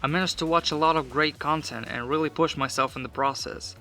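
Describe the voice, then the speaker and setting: A man's voice: fairly high pitch, fairly even intonation, a little fast, sharp tone. A man, not a native English speaker, giving a casual scripted voice-over for his vlog in a matter-of-fact, self-deprecating way. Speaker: vlogger.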